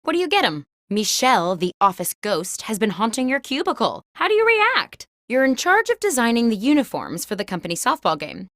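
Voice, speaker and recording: A woman playing a video game host who poses workplace questions like an office interviewer, in scripted, clearly enunciated prompts. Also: on the high side, huge pitch swings, brisk, few pauses, fairly bright tone, no room sound, studio quality, heavily acted. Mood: composed, quizzical.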